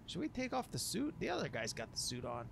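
A man's voice: fairly high pitch, wildly swooping pitch, quick pace, creaky, noisy background. A man giving casual, improvised gameplay commentary in slangy, grumbling talk with mild minced oaths. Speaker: gamer narrating a playthrough.

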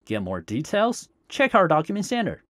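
A man's voice: on the high side, huge pitch swings, moderate pace, a bit bright, a little hiss or hum. A man hosting a scripted tech tutorial as a voice-over, recorded close to the microphone. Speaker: tutorial host. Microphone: close voice-over microphone, dry.

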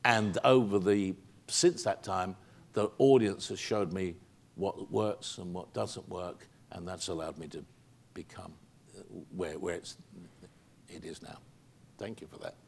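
Older man, British accent, low-pitched voice, chatty anecdotal storytelling, theater stage Q&A. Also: measured pace, gravelly, a little hiss or hum. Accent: British English. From England. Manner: chatty, anecdotal, with frequent ums and restarts. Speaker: actor answering audience questions. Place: theater stage, seated in armchair.

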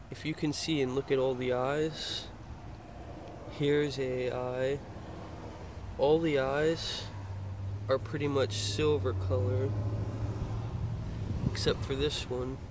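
A man's voice: mid-range voice, flat delivery, takes it slowly, long pauses. A young man from Florida casually showing off his fishing gear, rambling with lots of hesitant fillers, filmed on a handheld phone inside a car. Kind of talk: casual show-and-tell. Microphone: handheld phone pointed down at gear. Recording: noisy phone recording in a car.

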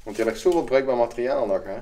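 A young man exploring an abandoned hotel and chatting casually to camera, often dropping to a hush. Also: medium pitch, lively intonation, fast talker. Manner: chatty, filler-heavy, drops to a hush. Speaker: urban explorer vlogger. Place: large empty abandoned hotel, indoors. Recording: echoey empty halls, background noise.

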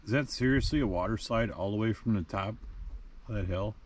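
A man's voice: fairly low pitch, brisk. A man chatting casually and wryly while paddling a canoe out on a lake. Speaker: camper and paddler. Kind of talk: casual vlog chat. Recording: loud wind and water noise outdoors. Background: wind and water.

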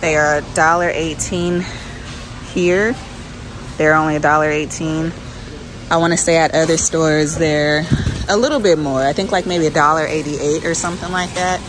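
A woman's voice: low voice, fairly even intonation, moderate pace. Woman vlogging casually amid store noise. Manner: informal, conversational. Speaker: shopping vlogger. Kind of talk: casual shopping chat, narrating as she goes. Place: inside a large grocery store. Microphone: handheld phone. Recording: clear store ambience noise behind voice. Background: supermarket background noise.

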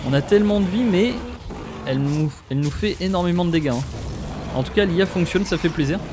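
A young French man giving excitable let's play commentary, often exclaiming and talking straight to his viewers. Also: high-pitched, fast, over music. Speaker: gaming YouTuber.

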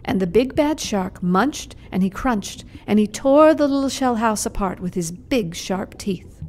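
A woman storyteller narrating a scripted children's puppet show in a measured, expressive way. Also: mid-range voice.

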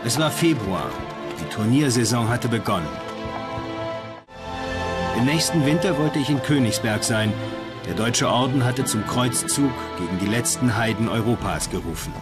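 A man's voice: mid-range voice, expressive, moderate pace, lightly acted. An actor playing a young, landless medieval knight, devout and ambitious, telling his story in solemn, formal language for a documentary. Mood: devout, hopeful. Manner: solemn, formal, old-fashioned phrasing. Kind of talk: first-person dramatized documentary narration. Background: loud music.

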